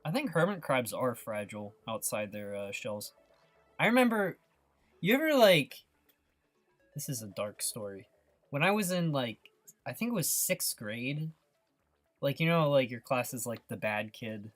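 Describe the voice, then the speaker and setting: Music plays behind a man with a middling-pitched voice. He talks like a livestreamer at work on his art, informal and rambling. He is quick to giggle as he chats with whoever is watching. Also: expressive, no echo. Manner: giggly, breaks into laughter often. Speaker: livestreamer, artist hosting a live painting stream. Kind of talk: casual chat to live viewers.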